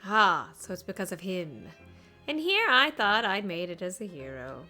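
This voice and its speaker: Gamer lady doing casual stream commentary, bright voice, really animated, playful n wry. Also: mid-range voice, moderate pace. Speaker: game streamer. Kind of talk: casual live playthrough commentary. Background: game music.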